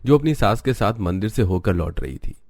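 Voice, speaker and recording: A man's voice: on the low side, lively intonation, fairly quick, darkish tone. A man narrating a scripted cartoon story.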